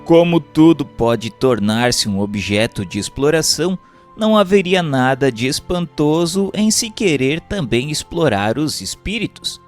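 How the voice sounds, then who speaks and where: A man's voice: a little high, animated, a little slow. Formal Brazilian man narrating over music. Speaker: narrator reading a book chapter. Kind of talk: scripted narration of a spiritual treatise. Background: background music.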